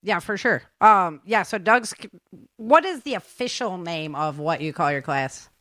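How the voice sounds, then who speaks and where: An American woman from the Great Lakes region hosting a casual chat in an animated, expressive way with slangy exclamations. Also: fairly low pitch, measured pace, dry recording, studio quality. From Great Lakes region, United States. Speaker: host.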